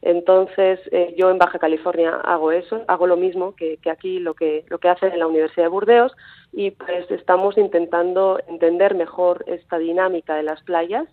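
A woman's voice: on the low side, flat delivery, normal speed. Basque woman with Mexican-tinged accent on the phone. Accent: Mexican-tinged Spanish. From Basque Country. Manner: hesitant, many fillers and restarts. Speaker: coastal researcher guest. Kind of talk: phone interview. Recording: tinny phone line.

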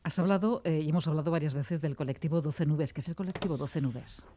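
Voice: medium pitch, quick pace, mellow, a little hiss or hum. An interviewer hosting a conversation, asking questions in a hesitant, tentative way. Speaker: interviewer, radio host.

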